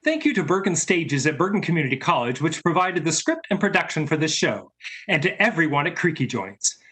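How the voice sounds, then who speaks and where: A man's voice: on the high side, animated, brisk, sharp tone. A man hosting a radio theater show, reading a scripted announcer sign-off.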